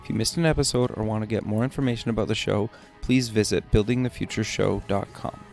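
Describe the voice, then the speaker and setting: A man's voice: on the low side, steady tone, fast talker, creaky, darkish tone, faint music behind. A man hosting an interview show, conversational and inquisitive, with loosely hedged phrasing. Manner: hedging, inquisitive, says "kinda" often. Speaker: podcast and radio show host.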